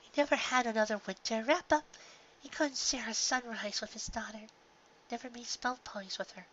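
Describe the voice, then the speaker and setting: A woman voicing a gentle, grieving young pony mare in a fan audio drama, with a soft, earnest, emotional delivery. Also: fairly high pitch, moderate pace, natural voice. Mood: sorrowful. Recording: dull lo-fi home recording, clear background noise.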